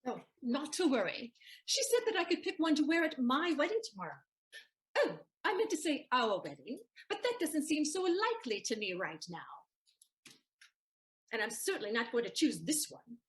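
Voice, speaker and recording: An actress playing Susanna, a clever, teasing young maid, in a stage audio play, with a sharp, mocking wit. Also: female voice, sits high, very animated, moderate pace. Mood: sarcastic.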